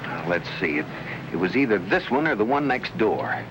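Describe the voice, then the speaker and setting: An actor playing a steady, protective, mildly exasperated husband and amateur sleuth in an old-time radio mystery. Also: male voice, fairly low pitch, normal speed, very noisy. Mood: urgent. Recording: old radio broadcast with music.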